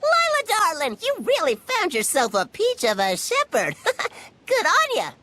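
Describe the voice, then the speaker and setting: A woman voicing a tiny, cheerful, excitable male critter spirit with a squeaky cartoon voice and folksy talk, in an animated game. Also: measured pace, a little hiss or hum, exaggerated voice. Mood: fond, playful. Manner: folksy, colloquial, exclamatory. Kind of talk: animated game cutscene acting.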